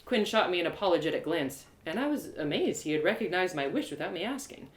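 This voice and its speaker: A woman reading a first-person fantasy story live, in an expressive, confiding, dramatized narration. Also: fairly low pitch, quick pace. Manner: expressive, confiding, acted-out delivery. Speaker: storyteller and audio drama performer.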